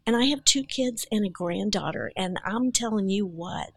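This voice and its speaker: Older actress guest, heartfelt and earnest, dry close sound. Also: female voice, medium pitch, animated, normal speed, creaky, no echo. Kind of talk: casual podcast chat.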